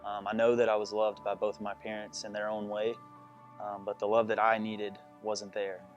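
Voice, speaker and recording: A man's voice: fairly low pitch, monotone, moderate pace, soft background music. A man in his mid-twenties giving a plain-spoken personal testimony. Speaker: church member giving testimony. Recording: dull, muffled audio.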